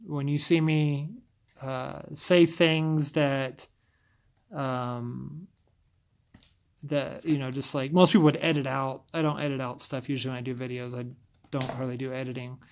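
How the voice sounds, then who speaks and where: A man's voice: medium pitch, little pitch movement, measured pace. A man with a scratchy voice talking casually to camera, often stumbling and restarting his sentences. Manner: stumbles over words, rambling restarts.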